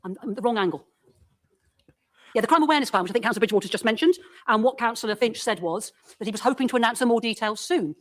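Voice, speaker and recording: A woman councillor puts a polite question to a council meeting, reading from a document. She talks very fast in a fairly high voice. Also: lively intonation.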